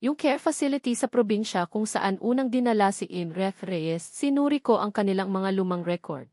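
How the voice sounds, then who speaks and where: A Filipino woman voicing a deferential male private investigator, quiet but clear, in a narrated story. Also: mid-range voice, lively intonation, moderate pace, dry recording, clean studio sound, close to an everyday voice. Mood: discreet, respectful.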